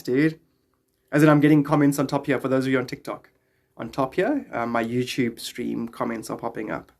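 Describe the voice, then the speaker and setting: A man in his thirties with a South African accent, chatting casually and playfully in slang as he hosts a live stream, close to a phone in a small room. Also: medium pitch. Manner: playful, slangy, greeting viewers in drawn-out exclamations. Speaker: live streamer and podcast host.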